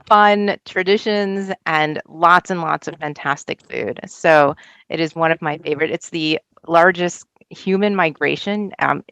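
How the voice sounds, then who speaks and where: Low-voiced upbeat woman on a panel. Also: creaky, fairly bright tone, smooth, no echo, dull audio. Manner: upbeat, enthusiastic. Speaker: panelist, tech director. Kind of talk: video call panel. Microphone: video call.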